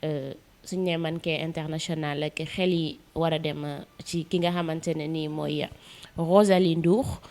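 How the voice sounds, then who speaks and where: A woman's voice: sits low, fairly even intonation, moderate pace, darkish tone. A woman hosting a casual interview, friendly and attentive, backing the guest with frequent "mhm"s. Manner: friendly, lots of listening "mhm"s. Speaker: interviewer, show host.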